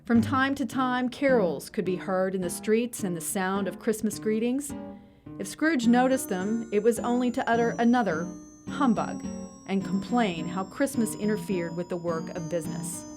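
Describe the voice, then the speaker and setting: A woman narrates an audio drama from a script. Her voice is of medium pitch, with a measured storytelling delivery. Plucked guitar music plays clearly underneath.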